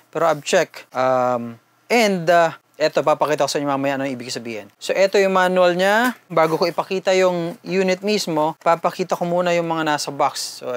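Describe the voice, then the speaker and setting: A man with a Filipino accent doing a casual, conversational unboxing and product review, mixing two languages as he talks informally to viewers. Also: a little high.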